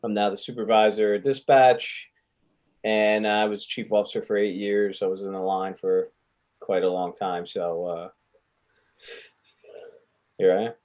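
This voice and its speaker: A man's voice: fairly low pitch, flat delivery, unhurried, pauses often, a little mellow. A man chatting casually as a guest on a live show over a video call, easygoing and joking. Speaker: dispatch supervisor and guest. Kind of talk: casual podcast chat.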